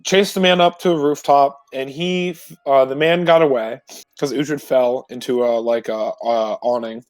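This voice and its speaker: A man informally recapping a previous tabletop roleplay session as a player, in a halting, self-correcting way. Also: mid-range voice, fairly even intonation, dry recording. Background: music.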